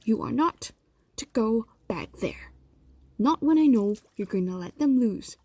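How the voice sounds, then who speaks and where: Slow, breathy woman as a possessive fox demon. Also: fairly high pitch, lively intonation, dry recording, heavily acted. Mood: defensive, stern. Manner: soft, breathy, often dropping to whispers. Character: possessive, evasive female fox demon doting on captive human. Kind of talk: intimate audio roleplay monologue.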